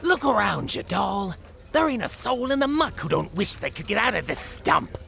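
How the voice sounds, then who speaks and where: A woman playing a scaly male barroom thug in a noir audio drama, with a gravelly voice and a taunting, slangy wiseguy drawl. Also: medium pitch, very animated, normal speed, a bit bright, faint music behind, full character voice. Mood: veiled menace.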